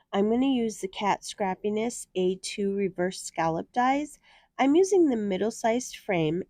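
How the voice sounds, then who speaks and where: Warm-voiced woman with a stuffy nose. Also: on the low side, no room sound. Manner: cheerful, chatty step-by-step explaining. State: nasal from a head cold. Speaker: card-making channel host. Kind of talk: crafting tutorial narration.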